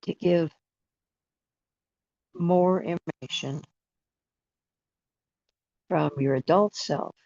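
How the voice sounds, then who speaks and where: Guided meditation facilitator, very slow pace, creaky low-energy fry, soothing, long pauses, dull lo-fi sound. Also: a little mellow, no room sound. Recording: dull, dry lo-fi recording.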